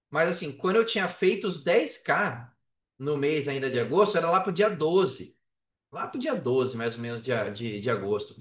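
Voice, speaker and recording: High-voiced Brazilian man, echoey podcast sound. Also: a bit bright. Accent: Brazilian Portuguese. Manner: slangy, swearing, emphatic repetition, acts out reported dialogue. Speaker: podcast guest, affiliate marketer. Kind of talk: casual, animated personal storytelling on a podcast. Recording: roomy echo, distant from the mic.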